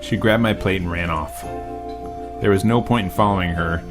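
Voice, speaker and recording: A man reading a visual novel aloud as a let's play host, casual and profane, now and then bursting into shouts. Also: low-pitched, moderate pace, music in the background.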